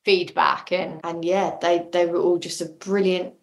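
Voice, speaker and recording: A woman's voice: on the low side, little pitch movement, measured pace, creaky. A woman speaking enthusiastically and casually as a guest in an interview. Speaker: podcast guest, audio drama maker.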